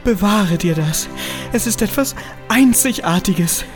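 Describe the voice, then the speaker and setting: A woman's voice: mid-range voice, lively intonation, unhurried, close to an everyday voice. A woman playing a devoted, sentimental office typist in a comedic radio play, tender and melodramatic. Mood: wistful, tender. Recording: music behind the voice.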